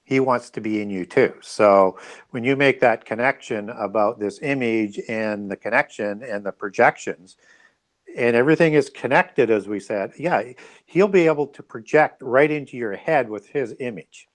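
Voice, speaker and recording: A man giving his take as a guest in an interview. His voice sits at a middle pitch. He talks conversationally, thinking aloud as he explains and often checking in with the listener. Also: normal speed.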